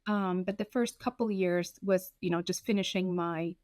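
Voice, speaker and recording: A woman in her thirties from Ethiopia, telling her own story in an interview, candid and animated, often acting out what people said. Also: lowish voice, normal speed, no room sound, clean studio sound. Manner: frank, animated, acts out quoted dialogue. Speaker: guest.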